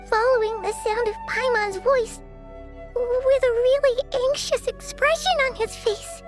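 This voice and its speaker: A woman voicing a small, childlike, earnest fairy companion with a squeaky voice in a video game cutscene. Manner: refers to self in third person, earnest. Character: small childlike fairy companion, chirpy, loyal, talks in third person. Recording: music behind.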